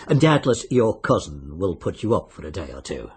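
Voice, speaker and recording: An actor playing a sly, genial British fixer in a radio drama, insinuating and coolly businesslike. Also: male voice, sits low, big pitch swings, normal speed, a little mellow, husky, full character voice. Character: sly, genial bookmaker-like fixer arranging deaths by wager.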